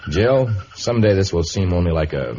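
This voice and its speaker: An actor playing an earnest, protective jungle hero with a measured, melodramatic delivery in an old radio serial. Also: male voice, low voice, lively intonation, dark tone, slight background noise. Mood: earnest, consoling. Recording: muffled vintage radio recording, background din.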